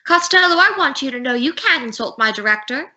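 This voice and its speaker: A woman acts out a dramatic, indignant actress in a radio comedy. The bright voice is high and very lively, on a slightly dull recording. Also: fairly quick, slight background noise, full character voice. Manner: theatrical, reproachful. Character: Veronica, dramatic, indignant actress defending her director. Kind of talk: old-time radio comedy acting.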